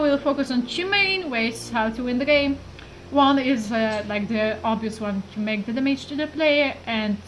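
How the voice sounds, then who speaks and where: A woman's voice: high voice, a little hiss or hum, poor audio. A young woman from Poland with a Polish accent, chatting casually to camera about a card game, rambling with lots of fillers. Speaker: gaming YouTuber.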